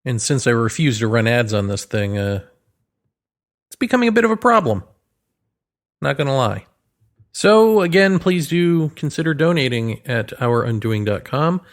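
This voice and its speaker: A man's voice: medium pitch, very animated, normal speed. A man hosting a solo podcast in a casual, rambling way, hesitant as he thinks aloud, with a wry, self-deprecating manner. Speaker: podcast host and author.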